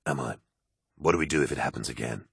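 A man's voice: very deep voice, fast talker, vocal fry, slightly rough, no echo, studio quality, pitched below the performer's own voice, full character voice. A man voicing an earnest, troubled, straight-laced warrior in an audiobook, with a questioning manner. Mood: uneasy.

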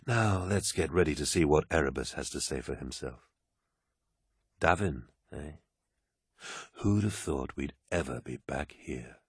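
A man voicing a commanding, brooding, proud warlord in an audiobook, with a grave, imperious, husky delivery. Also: very deep voice, lively intonation, measured pace, pauses often, no room sound, studio quality, heavily acted. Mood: calm.

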